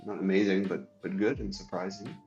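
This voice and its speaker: Host, male, very low voice, congested from a cold, rambling delivery; roomy, muffled recording over faint music. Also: steady tone, fairly quick, gravelly, a little mellow. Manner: rambling, many ums and restarts. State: stuffy from a cold. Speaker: show host and competitive player. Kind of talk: casual solo commentary.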